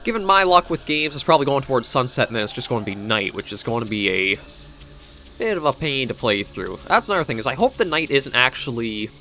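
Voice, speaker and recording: A man's voice: medium pitch, very animated, quick pace, bright, forceful tone, slight background noise. A man with a Canadian accent who is coming down with a cold, giving casual, rambling gameplay commentary as he thinks aloud. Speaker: gaming video host.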